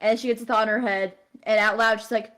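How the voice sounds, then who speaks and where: A woman with a fairly high voice talks at a game table. She casually describes what her character does and thinks, improvising as she goes. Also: little pitch movement, quick pace, a bit bright. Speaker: tabletop roleplaying game player.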